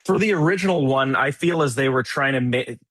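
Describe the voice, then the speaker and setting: A man's voice: mid-range voice, a little fast, a bit bright, no echo. A man co-hosting a movie podcast, talking casually and thinking aloud in slangy, conversational phrases. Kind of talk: casual chat, film discussion.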